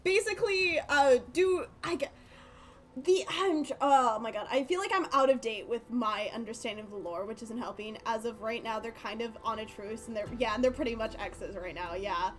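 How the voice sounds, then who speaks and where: A woman's voice: on the high side, moderate pace. A young woman livestreamer giving casual game commentary, rambling in slang with swearing and a giggly manner.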